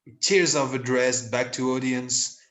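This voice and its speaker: A man's voice: medium pitch, steady tone, unhurried, fairly bright tone. A man giving scripted narration, reading out the stage directions of a comedy play.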